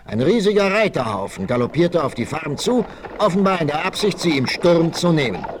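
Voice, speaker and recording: A man's voice: a little high, big pitch swings, brisk. A man narrating a 1960s German radio play in a dramatic, scripted storytelling style. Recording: noisy old vinyl rip.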